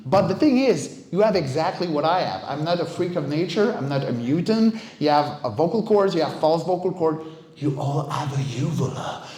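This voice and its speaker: A man's voice: fairly high pitch, darkish tone. A middle-aged man, a native French speaker, giving an informal talk as a guest speaker and voice coach. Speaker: guest speaker, voice director and vocal stunt coach. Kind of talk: casual conference talk. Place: indoor game developer meetup venue. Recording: roomy, echoing event space.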